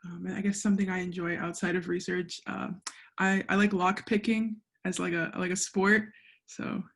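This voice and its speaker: A woman's voice: lowish voice, level tone, moderate pace, vocal fry. A young woman from Central Florida, a master's student, introducing herself hesitantly with many fillers on a video call. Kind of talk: casual self-introduction.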